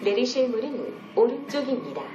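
A woman with a fairly high voice reads formal subway announcements in a measured, courteous way. The sound is echoey, with loud train rumble all around her. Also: sing-song, dull audio. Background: moving train noise.

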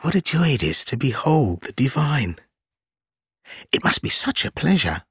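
A man voicing a sly, gleeful spider creature in a gothic fantasy game, husky and theatrical, with wheedling, archaic phrasing. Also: mid-range voice, sing-song, moderate pace, a bit bright, dry recording, clean studio sound, full character voice. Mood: mocking. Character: sly, gleeful, obsequious spider-bodied trickster zealot.

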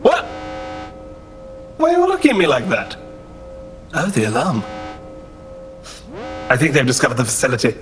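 A man's voice: medium pitch, wildly swooping pitch, moderate pace, long pauses. An actor playing a prim, precise, easily exasperated male android in a science-fiction audio drama. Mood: matter-of-fact. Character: fussy, exasperated male android, logical and dry. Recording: echoey, music behind.

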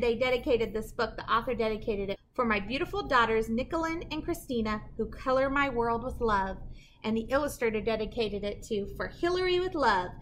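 A middle-aged woman reading a picture book aloud to children as a librarian, with animated, dramatic emphasis and a sing-song delivery. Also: a little high, a little hiss or hum. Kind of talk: expressive children's read-aloud. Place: indoor library with bookshelves.

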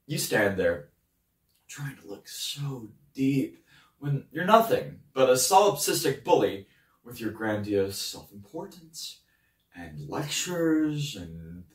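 An actor playing an indignant young painter's assistant in an impassioned, scornful stage monologue, moving dramatically between loud and hushed delivery. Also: male voice, medium pitch, big pitch swings, slow pace. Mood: exasperated outburst. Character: indignant young male painter's assistant berating his mentor. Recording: roomy echo, distant microphone.

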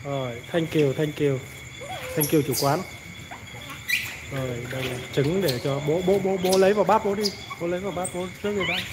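A Vietnamese woman hosting a casual vlog, chatting to pets and viewers and often repeating words and names in quick runs. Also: deep voice, steady tone. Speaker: vlog host filming pet monkeys. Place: large open-sided roofed restaurant at night. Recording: very noisy outdoor recording with insects and animals. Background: crickets, insects and animal sounds.

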